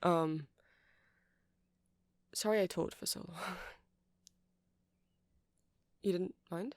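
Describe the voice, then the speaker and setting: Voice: medium pitch, steady tone, extremely slow, no room sound. A performer of unclear sex playing a nerdy, eager, apologetic music-shop clerk in an ASMR roleplay, hesitant and rambling. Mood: shy, relieved. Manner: hesitant, rambling, self-deprecating, nervous little laughs.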